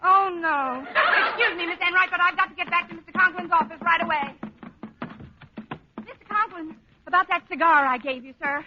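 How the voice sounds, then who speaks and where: Wry, wisecracking schoolteacher, high voice. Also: female voice, fairly quick, over music. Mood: flustered. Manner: deadpan sarcasm, crisp timing. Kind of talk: 1940s radio comedy acting. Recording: muffled noisy old radio recording.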